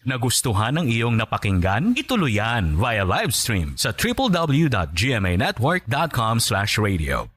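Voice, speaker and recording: A man's voice: mid-range voice, huge pitch swings, a little slow, hardly any pauses, a bit bright, slightly rough. A man with a Filipino accent reading a promotional station announcement as a radio announcer. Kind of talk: promotional station imaging read.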